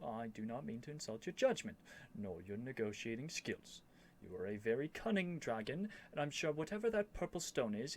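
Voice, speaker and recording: A man playing a young royal prince in a scripted ASMR roleplay, earnest and lovestruck, speaking in formal, courtly phrases with haughty airs and flustered hesitations. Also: mid-range voice. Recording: faint background noise.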